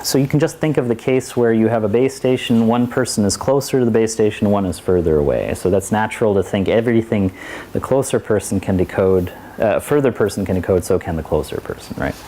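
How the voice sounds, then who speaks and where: A man's voice: lowish voice, fairly quick, mellow. A man giving a university lecture, talking in a digressive way with many restarts and asides. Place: lecture hall.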